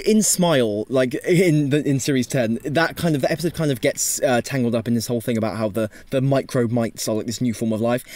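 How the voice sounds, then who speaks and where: A man's voice: medium pitch, darkish tone, dry recording. A man chatting as a podcast panellist, rambling and often hesitating and correcting himself. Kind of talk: casual discussion podcast talk.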